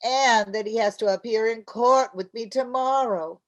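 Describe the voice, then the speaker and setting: A woman acts an exasperated, scatterbrained housewife in the style of old-time radio comedy. Her voice sits a little high, and she sounds earnest, flustered and insistent. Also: moderate pace, close to an everyday voice. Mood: flustered explaining. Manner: fretful, insistent.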